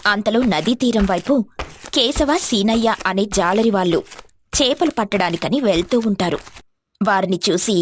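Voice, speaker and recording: A woman's voice: mid-range voice, lively intonation, normal speed, a little hiss or hum. A woman narrating a scripted moral story. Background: faint music.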